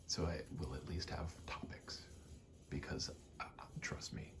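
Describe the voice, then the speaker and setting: A man in his twenties doing a casual ASMR gaming ramble, soft-spoken and often whispering, in a halting, meandering way. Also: very deep voice, vocal fry. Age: 20s. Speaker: ASMR gaming video host. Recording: faint noise, game button clicks. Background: controller clicking, faint background noise.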